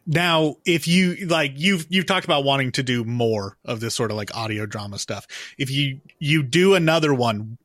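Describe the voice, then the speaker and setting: A man interviewer chatting casually, phrasing his questions in a halting, wordy way. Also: mid-range voice, expressive, fairly bright tone, dry recording, clean studio sound. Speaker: interviewer, show host.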